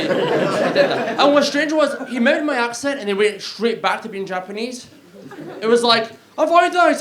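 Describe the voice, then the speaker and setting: A young Glaswegian man works a comedy club stage with a handheld mic. His voice sits fairly high, and he rambles in a chatty, self-mocking way, chuckling at his own jokes. The live audience and room noise are clearly audible behind him. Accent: Glaswegian Scottish. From Scotland. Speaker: stand-up comedian. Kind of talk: stand-up comedy set.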